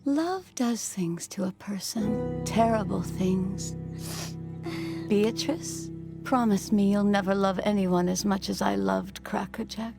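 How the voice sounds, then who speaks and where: An actress voicing a bitter, grieving old horse grandmother who speaks in a slow, grim, hushed way. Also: mid-range voice, vocal fry. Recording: distant clip with guitar music.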